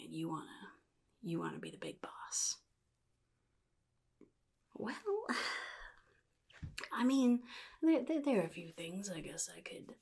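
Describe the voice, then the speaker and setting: A woman voicing a chaotic, jittery male clown supervillain in soft-spoken ASMR roleplay, half-whispering and rambling in halting, sly phrases. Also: sits low, exaggerated voice. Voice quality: breathy, gravelly, half-whispered. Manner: halting, rambling, sly, addresses listener as kid.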